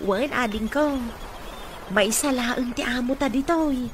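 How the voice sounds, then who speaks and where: A woman's voice: fairly high pitch, slow. A woman playing a deferential, friendly, gossipy housekeeper in a radio drama. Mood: chatty, gossipy. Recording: clearly noisy background.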